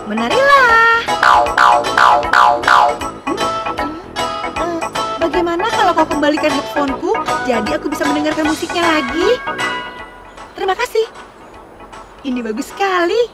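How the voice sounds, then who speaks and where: A woman's voice: extremely high pitch, big pitch swings, normal speed, long pauses. A woman voicing a playful, friendly young car in a children's cartoon dub, with a chirpy, childlike, exclamatory way of talking. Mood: playful, cheerful. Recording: loud music behind.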